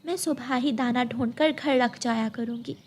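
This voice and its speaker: Casting: hardworking mother bird for a cartoon a woman performing, high voice, submissive, gentle, plaintive delivery. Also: normal speed, slight background noise, natural voice. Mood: caring. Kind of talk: Hindi moral cartoon story dialogue.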